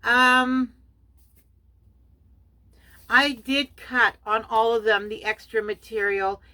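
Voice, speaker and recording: Unhurried middle-aged woman, German first language, raised in Canada; hesitant crafting vlogger. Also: a little high, steady tone, bright, forceful tone, poor audio. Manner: hesitant, many fillers and self-corrections. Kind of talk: casual show-and-tell.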